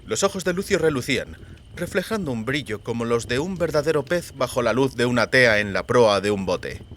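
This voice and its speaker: A man's voice: medium pitch, lively intonation, a little fast, a bit bright. A man narrates a literary story in a mid-range voice. His intonation moves expressively through each phrase. A faint ambient noise sits behind the reading. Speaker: audiobook narrator.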